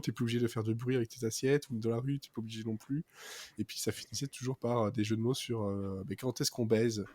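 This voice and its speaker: A man's voice: mid-range voice, darkish tone, no echo. A man co-hosting a casual culture podcast, rambling and hesitant, full of fillers. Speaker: podcast co-host.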